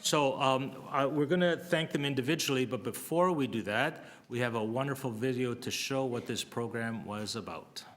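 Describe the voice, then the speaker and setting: A man's voice: mid-range voice, normal speed, hardly any pauses, a bit bright. A man presenting a public health program at a city board meeting, reading prepared remarks with occasional fillers and restarts. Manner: reads prepared text with fillers. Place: council meeting room.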